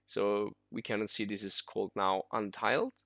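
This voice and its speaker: A man whose first language is Italian, talking through a screen-recorded software tutorial casually, with many fillers and restarts. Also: fairly low pitch, fairly even intonation, normal speed, no echo, studio quality. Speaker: software instructor.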